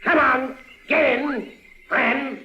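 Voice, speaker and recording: Very high-voiced eerie swamp henchman. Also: steady tone, vocal fry, exaggerated voice. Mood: menacing. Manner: folksy, drawn-out, sly. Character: eerie rustic swamp-dwelling henchman, male. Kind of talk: old-time radio drama acting. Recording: muffled vintage radio recording.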